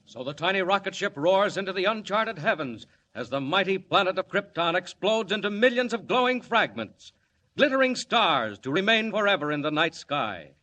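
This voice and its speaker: Brief: male serial announcer, 1940s style, booming and forceful, high and animated. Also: normal speed. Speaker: announcer and narrator. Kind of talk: 1940s radio serial narration.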